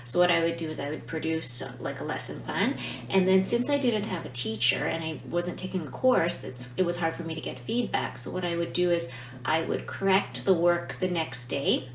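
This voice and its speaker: Woman teacher chatting thru her experience, lowish voice, kinda far from the mic in an echoey room w a soft hum. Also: fairly quick. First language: not Italian. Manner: chatty, reflective, tags remarks with "right?". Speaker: Italian teacher and vlogger. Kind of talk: casual explanatory vlog. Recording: roomy, distant mic with faint hum.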